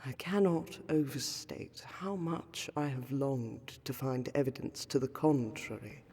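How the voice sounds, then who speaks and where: A woman performs a refined vampire noblewoman for a fantasy audio roleplay. Her delivery is very slow and soft-edged, with a husky veil over ornate, old-fashioned phrasing. She carries a courteous melancholy, and her words ring in a long hall reverb. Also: very deep voice, full character voice. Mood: wistful, restrained sorrow. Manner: formal, archaic, ornate diction, measured. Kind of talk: fantasy roleplay audio, scripted monologue to the listener.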